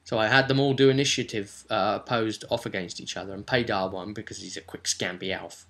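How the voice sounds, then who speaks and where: A man casually recapping a tabletop roleplaying session as game master, telling it in an animated storytelling way. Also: fairly low pitch. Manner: animated storytelling, slipping into quick character quotes.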